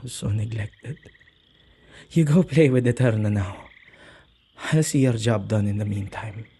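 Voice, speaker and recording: A man playing an earnest, tender male witch who protects ghosts, speaking softly and intimately in an ASMR roleplay. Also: mid-range voice, creaky, slightly rough, heavily acted. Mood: warm, gentle. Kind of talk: ASMR boyfriend roleplay acting.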